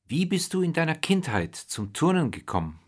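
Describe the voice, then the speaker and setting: A man's voice: a little high, sing-song, moderate pace. A man reading a scripted introduction and interview questions as the interviewer.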